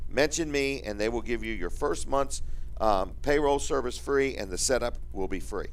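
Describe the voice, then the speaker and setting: A man's voice: mid-range voice, normal speed, recorded from a distance, low-quality recording. An American man hosting a casual promotional radio chat in a folksy, repetitive salesman's manner with joking questions. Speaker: radio show host and business owner.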